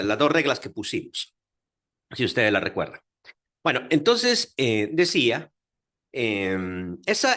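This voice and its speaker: A man with a Guatemalan accent lecturing a class on Bible history, talking in a lively way with many fillers and check-ins to his listeners. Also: mid-range voice. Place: Dominican Republic, class audience.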